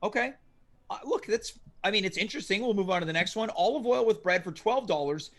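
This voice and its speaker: A man's voice: high voice, animated, fast talker, a bit bright. A man hosting a comedy show, reading a menu aloud and grilling his guest in a dry, mock-serious way. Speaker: show host. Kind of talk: comedic interview.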